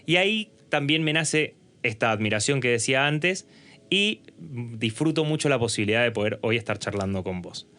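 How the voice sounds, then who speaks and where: A man's voice: mid-range voice, lively intonation, moderate pace, sharp tone. Argentine man hosting a casual podcast. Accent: Argentine Spanish. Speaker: podcast host and interviewer. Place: home studio.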